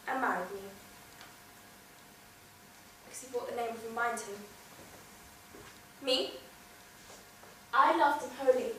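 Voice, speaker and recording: A young woman playing a wounded, defiant wife from old legend, speaking a theatrical monologue in deliberate, weighted phrases. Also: high voice, pushed and bright, full character voice. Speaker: drama student performing an exam monologue. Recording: very echoey room, distant mic, faint noise.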